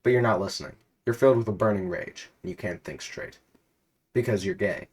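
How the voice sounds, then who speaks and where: A man's voice: on the low side, fairly quick, husky. A man telling a comedic story in the second person with dramatic narration.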